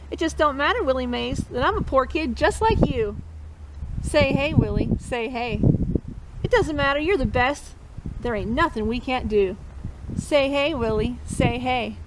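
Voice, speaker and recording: High-pitched woman reading to children. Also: noisy background. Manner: encouraging, chanting refrains for listeners to repeat. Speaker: storytime host reading a picture book to children. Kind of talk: interactive read-aloud for children.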